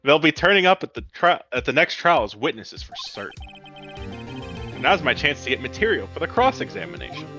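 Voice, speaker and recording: A man's voice: fairly high pitch, very animated, brisk, bright, forceful tone, soft background music, full character voice. A man voicing an earnest, wry male defense lawyer in a fan-voiced visual novel game, conversational in manner. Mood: determined.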